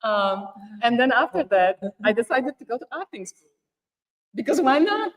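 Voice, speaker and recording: A woman's voice: a little high, very animated, normal speed, reverberant, recorded from a distance. A woman from Poland, a podcast guest talking casually about her life, laughing often and searching for words. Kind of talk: casual interview chat.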